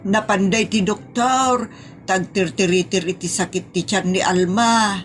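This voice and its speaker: A woman's voice: medium pitch, measured pace, soft background music, natural voice. A woman playing a gullible, fretful village mother with an Ilocano accent in a comedy audio drama. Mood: pleading, concerned.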